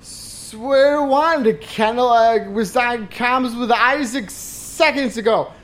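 A desperate debtor in an audio drama. The voice sits fairly high and drags slowly, halting and groaning as it pleads and bluffs. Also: female voice. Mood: desperate pleading.

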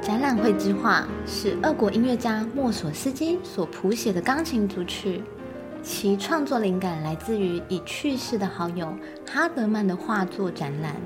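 A woman's voice: medium pitch, animated, moderate pace. A woman narrator reading a scripted children's story expressively. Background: music.

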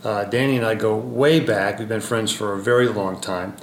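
A man of middle pitch who hosts a podcast, chatty and easygoing, sometimes stumbling over his words. His voice rings a little in the room, with faint background noise. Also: fairly even intonation, moderate pace. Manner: friendly, conversational questioning with hesitations. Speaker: podcast host, professor. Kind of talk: podcast interview. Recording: roomy sound with faint ambient noise.

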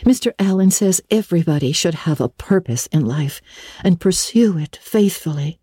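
Eager, gushing, high-voiced schoolgirl. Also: animated, moderate pace, warm tone, slightly rough, no room sound, studio quality. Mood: blissful, earnest. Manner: gushing, dramatic, effusive. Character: eager, talkative, earnest orphan schoolgirl. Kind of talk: dramatic audiobook reading of a classic novel.

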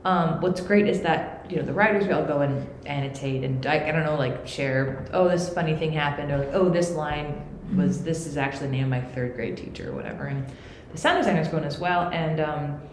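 Enthusiastic woman writer, low voice, echoey room w faint hiss. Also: a little fast, few pauses. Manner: enthusiastic, animated. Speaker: podcast writer on a panel. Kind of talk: panel discussion.

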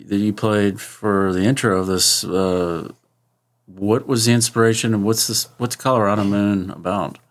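A man hosting an interview, asking questions in a relaxed, friendly way. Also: lowish voice, moderate pace. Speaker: podcast host.

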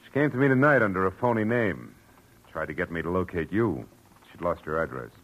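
An actor playing a terse, world-weary private detective with an American accent in a 1940s radio drama. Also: male voice, low voice, expressive, a little fast, fairly bright tone, low-quality recording. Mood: blunt, cool. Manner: terse, hard-boiled, dry. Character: hard-boiled, world-weary male private detective narrating his case. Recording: vintage radio drama with music.

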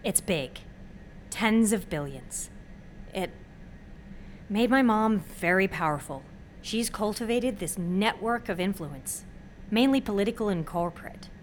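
A woman's voice: medium pitch, lively intonation, unhurried, long pauses, natural voice. Actress as an anxious young college woman, noisy background. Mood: uneasy, wry. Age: young adult. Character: sheltered, anxious, candid young female college student. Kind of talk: naturalistic audio drama dialogue.

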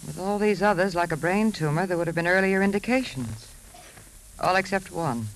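A woman's voice: on the low side, moderate pace, natural voice, rough recording. A woman acting an outspoken, suspicious wife turned amateur sleuth in a 1950s radio drama. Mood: insistent. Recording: 1950s radio broadcast with faint noise.

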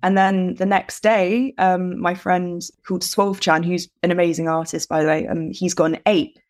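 A woman, a guest artist, telling her story in a casual interview and acting out her own past thoughts with lively energy. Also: on the low side, normal speed.

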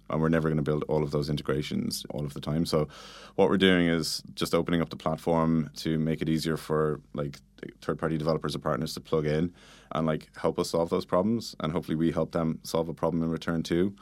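Very deep-voiced man, talking fast. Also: flat delivery, darkish tone, no echo. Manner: informal, filler-heavy explaining with frequent "like" and "you know". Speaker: interview guest, product manager. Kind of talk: casual conversational interview answers.

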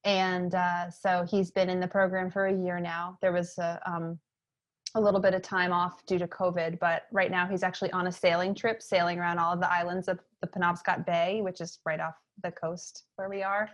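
A woman's voice: lowish voice, moderate pace. A woman in her thirties from Maine, telling stories in a chatty interview with lots of fillers. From Maine, USA. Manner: chatty, frequent fillers, lively emphasis. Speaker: interview guest, entrepreneur. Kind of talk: casual conversational storytelling.

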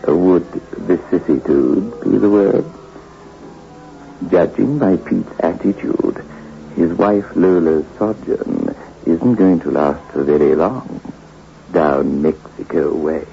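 A man playing the wry, ghoulish host of a 1960s radio suspense series, arch and drawn-out in his delivery. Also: sits low, vocal fry, exaggerated voice. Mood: sardonic relish. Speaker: host of horror anthology. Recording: dull, noisy old radio recording.

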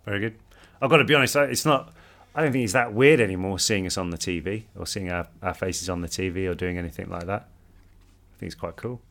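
A man's voice: low-pitched, fast talker, a bit bright. A man co-hosting, answering viewer questions in casual chat, upbeat and sincere.

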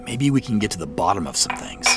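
A man's voice: on the low side, big pitch swings, quick pace, over loud music. An actor playing a wry, guarded man in an audio drama, talking in a dry, laid-back, natural way. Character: wry, guarded man who suspects he is being spied on.

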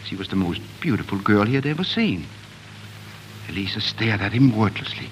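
A man narrating a fairy tale in measured, dramatic 1940s radio storytelling style. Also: fairly low pitch, sing-song, a little hiss or hum. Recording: dull 1940s radio, music behind.

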